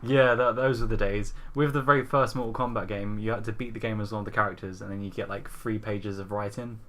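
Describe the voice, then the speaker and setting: A man's voice: on the low side, fairly even intonation, quick pace, a bit bright, a little hiss or hum. A man hosting a podcast, chatting casually about films and games in an informal, sweary way. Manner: informal, frequently chuckling, sweary. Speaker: podcast host. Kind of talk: casual film and game chat.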